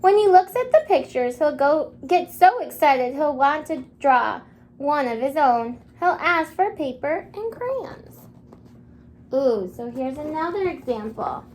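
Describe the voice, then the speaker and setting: A high-pitched woman reads aloud as a kindergarten teacher. She takes her time, speaking in simple, encouraging phrases and pausing after questions as if small children are answering. There is faint noise behind her. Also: lively intonation, a little mellow. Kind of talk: interactive children's read-aloud lesson.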